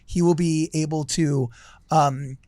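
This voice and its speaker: A man's voice: a little high, steady tone, slow, mellow, dry recording, studio quality. A man bantering casually as a podcast panelist, joking and using plenty of slang.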